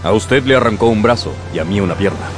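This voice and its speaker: An actor playing an obsessed one-legged sea captain who speaks curtly and commandingly in a radio play. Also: male voice, on the low side, quick pace, close to an everyday voice. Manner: curt, commanding. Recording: radio drama over music.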